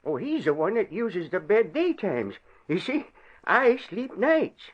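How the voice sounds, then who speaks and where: A man's voice: sits high, very animated, measured pace, heavily acted. An actor playing a simple, meek older man, an asylum inmate who tends dogs, talking in a folksy, deferential way in 1940s radio style. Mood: meek, chatty. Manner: folksy, deferential, chuckling rustic talk. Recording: dull old radio recording.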